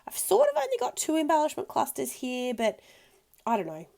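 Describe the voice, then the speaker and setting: A woman in her thirties with a croaky, congested voice, chatting casually in a voice-over while she scrapbooks, rambling with lots of ums. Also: sits high, wildly swooping pitch, brisk, darkish tone. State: croaky from a cold. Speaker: scrapbooking video host.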